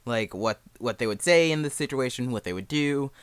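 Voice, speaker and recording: A young man with a clear, smooth voice at an average pitch walks through a how-to in casual, informal language. The sound is dry and close, with no room on it. He is self-deprecating and speaks as an amateur helping beginners. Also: expressive, moderate pace, no room sound. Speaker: amateur fan dub creator giving a tutorial. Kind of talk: casual spoken tutorial.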